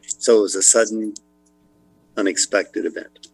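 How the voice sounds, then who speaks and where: A man on a video call sharing a personal story of loss, speaking haltingly with pauses. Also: on the low side, fairly even intonation, darkish tone, slight background noise, rough recording. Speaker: guest. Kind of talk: personal testimony.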